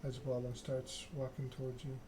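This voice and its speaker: A man reads narrative game text aloud for ASMR in a measured, soothing way. His mid-pitched voice stays very even, with noticeable noise and clicking behind it.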